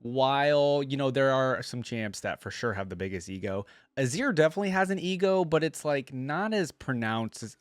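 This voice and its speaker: A man's voice: medium pitch, lively intonation, moderate pace, few pauses, no echo, clean studio sound. A man hosting a reaction video, chatting informally and slangily as he muses aloud. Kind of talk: casual chat, reacting to game voice lines.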